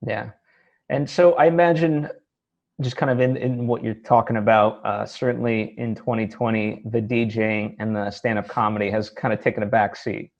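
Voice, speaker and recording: A man's voice: fairly low pitch, steady tone, moderate pace, vocal fry, a little mellow. A man hosting a casual interview, talking in a discursive way and often checking in with his guest. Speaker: interview host. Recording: slightly dull, lo-fi sound.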